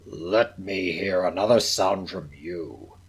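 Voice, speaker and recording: A man's voice: slow, husky, heavily acted. A man doing a growling, whispering old miser. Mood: curt, grumbling. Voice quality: low, growling, half-whispered. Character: miserly bitter old male moneylender.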